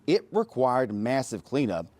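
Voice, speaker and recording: A male TV reporter with a medium-pitched voice. He speaks in scripted news cadence, stressing key phrases with punch, as in a field report or live shot. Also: very animated, unhurried, darkish tone, no room sound. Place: mostly outdoor standups on location, some voice-over.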